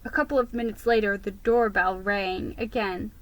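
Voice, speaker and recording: A woman giving a dramatic, expressive reading of a first-person children's story. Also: mid-range voice, normal speed, lo-fi sound. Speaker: storybook reader.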